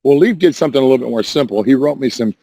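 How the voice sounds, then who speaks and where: A man with a hoarse, worn voice talks on and on, restarting his sentences and defending himself. His pitch sits in the middle, and the sound is dry and close to the mic. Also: expressive, racing, warm tone, no room sound. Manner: rambling, defensive, repeats and restarts. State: hoarse, says voice is damaged. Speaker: Discord voice chat caller. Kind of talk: rambling casual chat.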